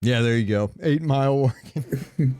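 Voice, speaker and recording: Dude cohosting a gaming podcast, smooth clear voice, medium pitch, jokey and opinionated, casual talk. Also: male voice, measured pace, dark tone. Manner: joking, opinionated, swears casually. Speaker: podcast host.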